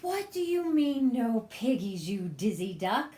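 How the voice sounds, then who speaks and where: A woman's voice: sing-song, a little slow, dark tone, a little hiss or hum. A woman voicing a cranky, greedy female witch who shrieks demands and insults while reading a storybook aloud. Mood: demanding, shrill. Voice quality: shrill, screechy. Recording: echoey room.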